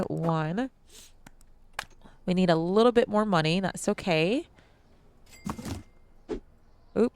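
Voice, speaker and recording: A woman's voice: fairly low pitch, darkish tone, a little hiss or hum. A young adult woman hosting a let's-play, chatting casually to viewers with ums and restarts, her nose a bit stuffy. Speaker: gaming channel host.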